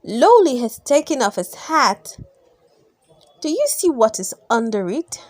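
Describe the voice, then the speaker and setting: A woman's voice: high-pitched, dry recording, studio quality. A woman reading children's bedtime stories aloud expressively, drawing out key words and putting questions to young listeners. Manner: expressive, drawn-out emphasis, gasps and sighs, questions to young listeners. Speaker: storybook reader. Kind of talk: read-aloud children's bedtime story narration.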